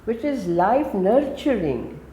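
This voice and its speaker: A woman in her seventies, a guest from India who speaks Gujarati as her first language. She talks in English with an Indian accent and gives emphatic answers in quick lists. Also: mid-range voice, very animated, mellow, slight background noise. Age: 70s. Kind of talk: conversational interview. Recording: roomy echo.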